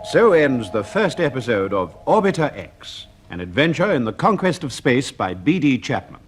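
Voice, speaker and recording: British guy announcer, old 50s radio style, lively pitch, faint music behind. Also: normal speed. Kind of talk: 1950s BBC radio announcing, scripted.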